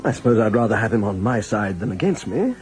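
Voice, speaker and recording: An actor playing a bluff, jovial German major and war hero in a radio drama, hearty and urbane. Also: male voice, on the low side, very animated, fast talker. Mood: eager, amused. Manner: hearty, urbane, laughs readily.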